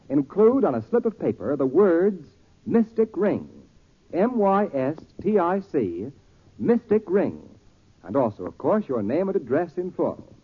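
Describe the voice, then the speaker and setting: A thin, tinny vintage radio recording of a male announcer. His voice is highish and rough-edged, with very lively intonation as he delivers an emphatic, theatrical sales pitch. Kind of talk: 1940s radio advertisement.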